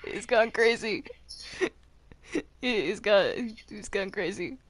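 A woman in improvised in-game roleplay chat, excitable and stammering, often repeating her phrases. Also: medium pitch, slow pace, dry recording. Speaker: Minecraft roleplay player.

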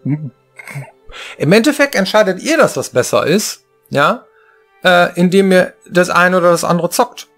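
A man's voice: high voice, lively intonation, a little slow, fairly bright tone, soft background music. A man co-hosting a casual studio chat, talking in a chatty way and throwing out rhetorical questions. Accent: Ruhr German. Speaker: co-host. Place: home studio with shelves.

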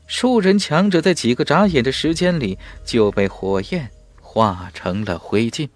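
A man's voice: medium pitch, animated, unhurried. A man narrating a fantasy novel as an audiobook in an emphatic, dramatic storytelling manner.

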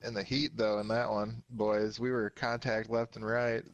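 A man's voice: lowish voice, little pitch movement, moderate pace, dry recording, dull audio. A man in casual gaming chat, talking in a slangy, sweary way as he plays with his squad. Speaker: gamer playing squad online shooter.

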